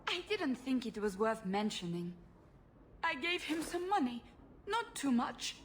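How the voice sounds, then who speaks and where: A melodramatic noblewoman in a fantasy game, flighty and evasive. Her voice sits very high and swoops dramatically from note to note. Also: big pitch swings, unhurried, a little hiss or hum. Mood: nervous, evasive. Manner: theatrical, fluttery, high-strung. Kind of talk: video game voice acting.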